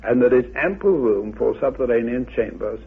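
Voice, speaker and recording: A man with a gravelly, husky voice giving formal, deliberate expert commentary on a dull old radio recording. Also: mid-range voice, expressive. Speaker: commentator, researcher.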